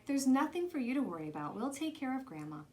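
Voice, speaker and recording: A woman voicing a calm, reassuring father from a children's storybook in a plain-spoken way. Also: high voice, quick pace.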